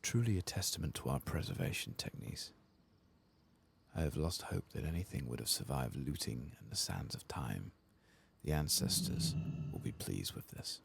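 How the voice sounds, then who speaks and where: Man playing a grave, formal fantasy-game tomb keeper: soft-spoken, very low, gravelly and sorrowful. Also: very animated, moderate pace, exaggerated voice. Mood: solemn, grateful. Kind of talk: reading fantasy game quest dialogue aloud.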